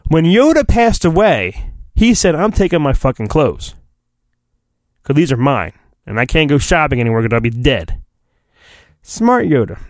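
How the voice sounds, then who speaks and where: Animated man giving a hammy comic reading. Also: on the high side, no echo, studio quality. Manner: hammy delivery broken by joking, profane asides. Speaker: podcast host. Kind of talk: comedic dramatic storybook reading.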